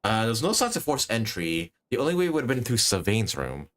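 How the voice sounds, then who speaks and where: A man's voice: fairly low pitch, wildly swooping pitch, a little fast, fairly bright tone. A man streaming a game, thinking aloud in casual commentary and reading on-screen text. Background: game music.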